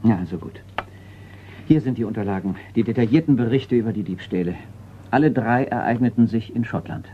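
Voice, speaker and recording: A man acting a formal insurance executive in a radio crime drama, with a low voice. He is earnest, measured and insistent. The sound is muffled, with faint noise behind it. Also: close to an everyday voice. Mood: grave, businesslike. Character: sober, insistent male insurance company executive. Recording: dull, noisy radio play recording.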